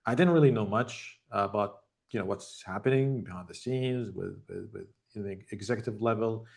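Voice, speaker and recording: Gravelly low-voiced man on a video call. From Jordan. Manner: measured, reflective, with frequent ums. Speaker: tech founder guest. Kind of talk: interview answers over video call.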